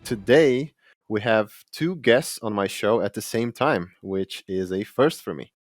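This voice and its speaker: A man's voice: on the low side, a little slow, no echo. A man hosting a podcast, a game translator whose first language is Brazilian Portuguese, chatting in a friendly, enthusiastic way. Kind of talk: casual podcast interview.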